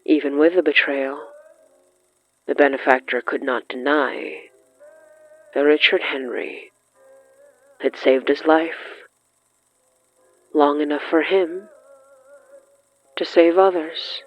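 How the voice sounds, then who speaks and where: A narrator reading dramatic horror fiction in a measured, halting way with weighty pauses. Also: mid-range voice, fairly even intonation, dry recording, lo-fi sound, faint music behind. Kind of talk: slow, dramatic scripted narration.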